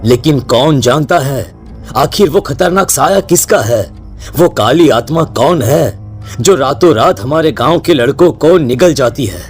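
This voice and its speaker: A man's voice: mid-range voice, expressive, measured pace, breathy edge, soft background music. A man voicing a worried, protective middle-aged village headman in a cartoon story, grave and nervous. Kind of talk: Hindi cartoon voice acting.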